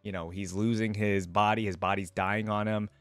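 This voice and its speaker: A man's voice: fairly low pitch, steady tone, moderate pace, a bit bright, dry recording, soft background music. A young man giving casual reaction commentary and reading aloud, musing and speaking straight to his viewers. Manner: conversational, musing, addresses viewers directly. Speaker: host of a gaming lore reaction channel. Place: indoor room at a desk, gaming chair. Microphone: close desk microphone on a stand, headset worn.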